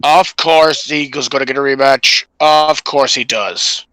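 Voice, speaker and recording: A man's voice: medium pitch, steady tone, unhurried, pushed and bright, no room sound, poor audio. A man co-hosting a podcast, giving his opinions in casual chat. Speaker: podcast co-host.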